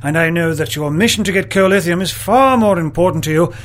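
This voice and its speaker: A man playing a self-satisfied, self-indulgent starship officer in a comedy radio play, smooth-talking. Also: high-pitched, fairly quick, close to an everyday voice. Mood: self-justifying.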